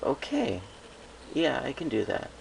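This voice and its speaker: A man's voice: mid-range voice, huge pitch swings, measured pace, gravelly, pitched above the performer's own voice, exaggerated voice. Man as a hesitant, downcast young stallion. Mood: bashful. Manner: hesitant, soft-hearted. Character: shy, downcast young male pony, polite and hesitant. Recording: noisy home recording.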